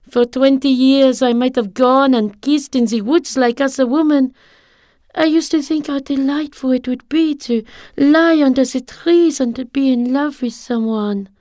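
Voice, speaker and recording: A woman's voice: high voice, darkish tone, dry recording, studio quality. A woman playing an elderly, romantic French shopkeeper's wife, confessing earnestly in a radio drama monologue. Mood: wistful, regretful.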